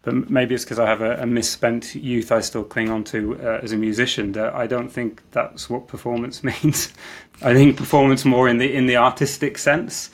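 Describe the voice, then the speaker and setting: A man's voice: mid-range voice, little pitch movement, normal speed. A man speaking as a podcast guest, answering in a reflective, thinking-aloud way. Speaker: guest, marketing executive. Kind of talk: podcast interview.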